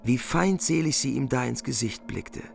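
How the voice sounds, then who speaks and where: Creaky male narrator over music. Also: mid-range voice, normal speed. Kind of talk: solemn scripted storytelling of a medieval epic.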